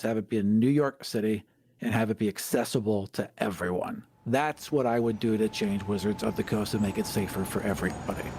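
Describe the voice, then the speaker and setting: A man's voice: medium pitch, a little mellow, no room sound. A man hosting a podcast in casual discussion, earnest and opinionated in how he talks. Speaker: podcast host and producer.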